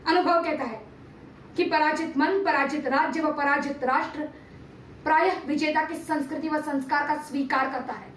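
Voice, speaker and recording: High-pitched woman declaiming a solemn monologue. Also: fairly even intonation, normal speed. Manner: solemn, declamatory, formal Sanskritized diction. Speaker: amateur performer reciting a TV serial monologue. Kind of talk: theatrical dramatic monologue, oratory. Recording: roomy, distant mic, faint background noise.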